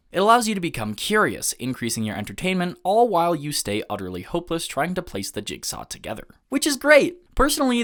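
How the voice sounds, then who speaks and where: A man's voice: on the high side, fairly bright tone. A man reading a scripted video essay as an anime reviewer, analytical and articulate in formal wording. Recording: music behind the voice. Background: background music.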